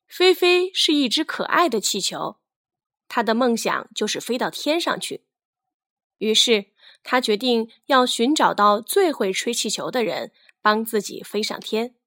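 A woman narrating a scripted children's fairy tale in an expressive storytelling manner. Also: a little high, measured pace, dry recording, studio quality.

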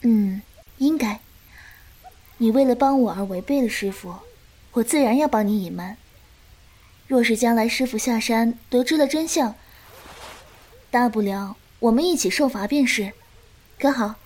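An actress playing a gentle, patient and doting young swordswoman in a Chinese martial-arts audio drama, speaking softly and with composure. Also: fairly high pitch, a little slow, pauses often. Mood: fond, teasing.

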